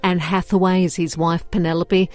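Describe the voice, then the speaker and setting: A woman narrating a scripted news report in a measured, even tone. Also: lowish voice, faint music behind.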